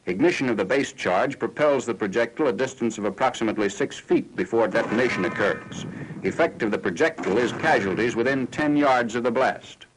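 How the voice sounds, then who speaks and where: An American man reading scripted 1940s military newsreel narration in a formal, factual, measured announcer style. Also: mid-range voice. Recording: noisy 1940s newsreel film soundtrack.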